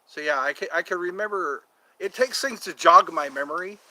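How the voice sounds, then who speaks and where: A man in his fifties chats loosely with his livestream audience, wandering from one topic to the next. His voice sits a little high and has a bright, forceful edge. He often breaks into quick put-on voices between his asides. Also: low-quality recording. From United States. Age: 50s. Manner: chatty and meandering, often breaks into quoted voices. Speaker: livestream host. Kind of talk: rambling casual livestream chat with viewers.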